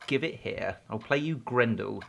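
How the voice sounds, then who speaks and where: A man's voice: fairly low pitch, normal speed, creaky, slight background noise. An actor playing an awkward, self-deprecating, buttoned-up single man with nerdy tastes in a naturalistic audio drama, hesitant and prone to stammering. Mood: fussy, defensive.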